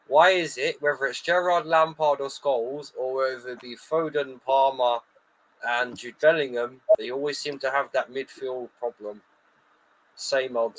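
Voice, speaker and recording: A man with an English accent, a guest in a casual football chat on a live stream, blunt and joking, quick to laugh. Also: mid-range voice, fairly bright tone, low-quality recording.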